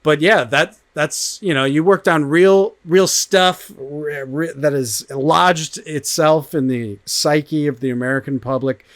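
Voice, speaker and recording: A man hosting a casual interview, chatty and quick to laugh, with halting, filler-heavy phrasing. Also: a little high, no room sound. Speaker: podcast host.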